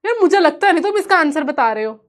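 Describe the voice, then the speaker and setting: A woman's voice: high-pitched, lively intonation, quick pace. A woman with an Indian accent teaching an informal live online class, playful and teasing with students and switching between two languages. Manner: mixes Hindi and English.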